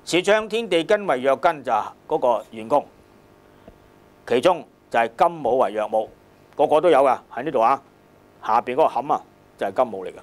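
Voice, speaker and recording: A man's voice: mid-range voice, expressive, brisk, long pauses. A man with a Hong Kong Cantonese accent lecturing on a classical text in a colloquial, emphatic way, repeating phrases and checking with rhetorical questions.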